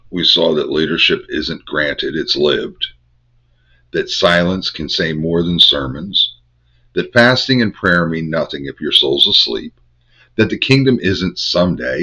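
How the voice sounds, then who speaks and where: An older man with a head cold, hosting a Bible study and teaching in measured, incantatory short phrases. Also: extremely low pitch, sharp tone, slightly rough. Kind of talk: spiritual teaching monologue. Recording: dull lo-fi recording.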